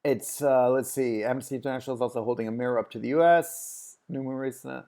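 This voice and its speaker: A man's voice: medium pitch, fast talker, a little mellow. A man hosting a casual screen-share critique, thinking aloud with lots of fillers and restarts as he clicks through. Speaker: host reviewing a website.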